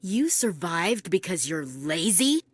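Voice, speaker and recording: A woman's voice: on the low side, very animated, takes it slowly, slightly rough, no echo, exaggerated voice. A woman playing a hot-tempered soldier in a video game, blunt and scornful in her delivery. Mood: scornful, angry. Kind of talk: video game cutscene acting.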